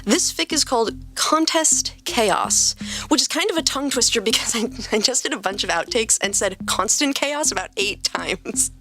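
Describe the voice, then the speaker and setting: A woman's voice: on the high side, animated, moderate pace, bright, forceful tone, faint music behind. A woman hosting a podcast and reading stories as scripted narration. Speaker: podcast host and story reader.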